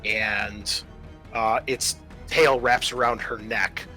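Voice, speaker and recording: A man running a tabletop roleplaying game as game master, narrating in a halting, deliberate way. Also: fairly low pitch, fairly even intonation, pushed and bright, faint music behind.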